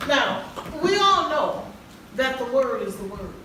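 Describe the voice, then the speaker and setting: A woman's voice: sits high, very animated, a little slow, pushed and bright, exaggerated voice. Echoey stage actress as a preachy woman counselor. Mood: earnest, instructive. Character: encouraging female self-help counselor addressing her group. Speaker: counselor and author leading a support group. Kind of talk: stage play acting. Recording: echoey hall, far mic, clear background noise.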